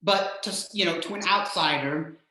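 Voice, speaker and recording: Heavy room echo surrounds a man hosting a casual interview. His voice has a bright edge, and he speaks agreeably in halting bursts, repeating words as he forms questions. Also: high-pitched. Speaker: host, interviewer. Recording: very echoey, reverberant room.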